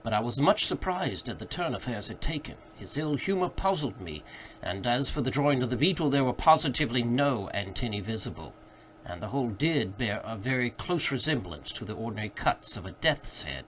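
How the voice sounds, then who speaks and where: A man reading literary fiction aloud as an audiobook narrator, with expressive, scripted storytelling delivery. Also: mid-range voice, a little fast. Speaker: audiobook reader. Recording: faint background noise.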